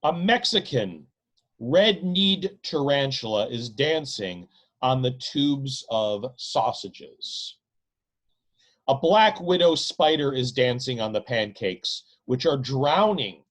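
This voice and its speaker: A man reads literary prose aloud as the author of the work. His delivery is slow and emphatic, with a lively rise and fall in pitch. He places each phrase deliberately and dramatically. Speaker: author reading own novel.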